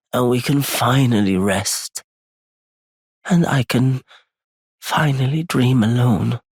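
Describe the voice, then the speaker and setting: An actor playing a weary, courteous wandering merchant in a video game, with a husky, breathy, gravelly voice and measured, formal speech. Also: male voice, medium pitch, no echo. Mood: polite, weary. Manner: measured, hushed, formal wording. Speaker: merchant character. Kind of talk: dramatic video game voice acting.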